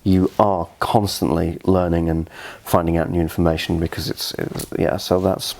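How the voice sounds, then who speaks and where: Young male interview guest, English accent, deep voice, casual conversational delivery. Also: flat delivery, moderate pace, vocal fry, a little mellow, slight background noise. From southern Scotland. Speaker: interview guest, fragrance reviewer.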